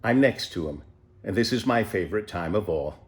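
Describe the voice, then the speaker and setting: A man reading a children's storybook as an unhurried, contented eighty-year-old pet tortoise who savours everything with wonder. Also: fairly low pitch, lively intonation, voice pushed down, natural voice. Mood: serene, savouring. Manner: savouring, leisurely, full of wonder.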